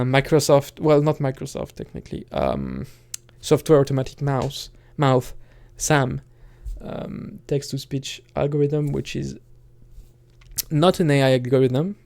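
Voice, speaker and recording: A man speaks in a relaxed, dark-toned voice with plenty of vocal fry. He sits at a middling pitch and rambles casually, like a streamer alone on his channel. His delivery is halting and hesitant as he feels his way toward each thought. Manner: hesitant, halting, thinking aloud. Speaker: streamer and musician talking on own channel. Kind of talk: casual rambling solo talk.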